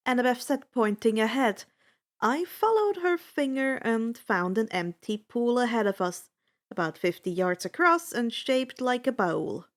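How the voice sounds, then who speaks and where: A woman reading a story aloud in an expressive first-person narration. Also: fairly high pitch. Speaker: fan fiction narrator.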